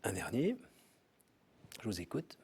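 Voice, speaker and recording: A man hosts an interview in a TV studio. He keeps his questions short and calm. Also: fairly low pitch, a little slow, slightly rough. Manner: calm, brief prompts. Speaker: host. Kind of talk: TV interview hosting. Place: TV studio set.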